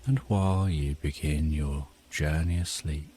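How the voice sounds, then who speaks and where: A man narrating a sleep story in a calm, gentle, guiding way. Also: a bass voice, measured pace, slight background noise.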